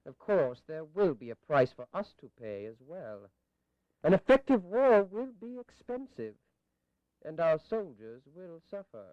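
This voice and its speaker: A high-voiced, pompous British official. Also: male voice, very animated, unhurried, no room sound. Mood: coldly scheming. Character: vindictive British official plotting to punish colonial rebels. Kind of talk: dramatized history audio acting. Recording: dull, lo-fi 1980s audio drama.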